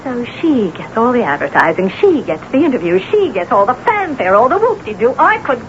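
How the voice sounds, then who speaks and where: An actress playing a self-absorbed, temperamental, envious novelist in a theatrical, gushing old-time radio drama style. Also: female voice, on the high side, very animated, a little fast. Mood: envious outrage. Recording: dull, noisy old radio broadcast.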